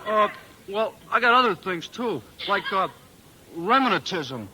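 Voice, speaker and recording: An actor playing a dim, hesitant teenage schoolboy in an old radio comedy. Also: male voice, high voice, very animated, heavy background noise. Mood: dopey complaining. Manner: hesitant, dopey drawn-out delivery. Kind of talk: 1940s-50s radio comedy acting. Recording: old radio show recording.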